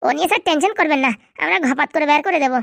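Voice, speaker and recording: A woman voicing a cheeky, scheming young male cartoon sidekick who talks in eager, flattering colloquial Bengali-accented patter. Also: high-pitched, racing, gravelly, dry recording, clean studio sound. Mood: flattering, confident. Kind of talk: comedy cartoon dubbing.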